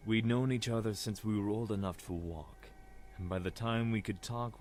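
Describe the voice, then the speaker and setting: A man's voice: sits low, darkish tone, a little hiss or hum. A man acting a wry, reflective Egyptian prince who narrates his past in an audio drama. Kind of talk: scripted first-person narration. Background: music.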